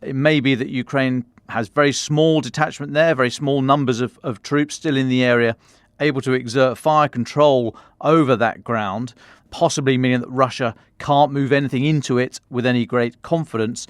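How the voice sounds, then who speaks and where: A man with a British accent giving a measured, explanatory news analysis briefing as a podcast commentator, with the odd colloquial turn. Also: medium pitch, fairly even intonation, no echo, studio quality.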